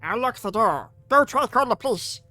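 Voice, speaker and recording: A man voicing an anxious, devoted teenage girl, earnest and halting, in a visual novel read-aloud. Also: very high-pitched, animated, sharp tone, soft background music, voice pushed up, exaggerated voice. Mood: urgent.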